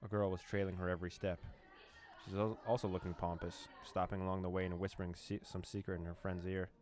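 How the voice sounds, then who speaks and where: A young man from the former USSR reading a horror visual novel aloud, with quick laughs and reactions between lines. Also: low-pitched, level tone, darkish tone. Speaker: let's play host.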